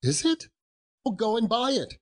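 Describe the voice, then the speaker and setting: A man's voice: mid-range voice, big pitch swings, measured pace, a little mellow, breathy edge. A man voicing an elderly reformed miser in a storybook reading, jubilant and effusive, full of exclamations and chuckles. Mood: giddy, delighted.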